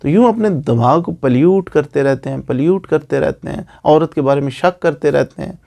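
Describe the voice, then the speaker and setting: Male Pakistani lawyer-explainer, slightly high register with heavy vocal fry; informal, exhorting, drives points home by repetition. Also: moderate pace, mellow. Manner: repeats phrases for emphasis, asks rhetorical questions. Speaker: lawyer giving legal advice.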